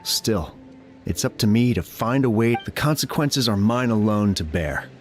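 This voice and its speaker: A man's voice: fairly low pitch, animated, normal speed, natural voice. Man acting an earnest, humble older captain. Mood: rueful, dejected. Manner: hesitant, rueful, polite. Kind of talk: video game character dialogue. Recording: music under the voice.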